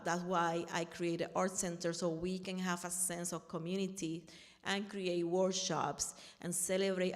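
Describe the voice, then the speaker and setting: A woman's voice: on the low side, long reverb tail. A middle-aged woman from Puerto Rico with a Puerto Rican Spanish accent, earnestly telling her story at a panel and often restarting while she looks for words. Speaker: panel speaker, artist and activist. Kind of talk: personal talk at a panel.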